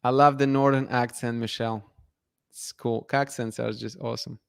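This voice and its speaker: A man's voice: medium pitch, little pitch movement, normal speed, vocal fry, clear, resonant tone, no echo, clean studio sound. A man from Russia, a channel host chatting casually and answering viewer comments with frequent restarts and laughs. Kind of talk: casual live-stream chat reading viewer comments.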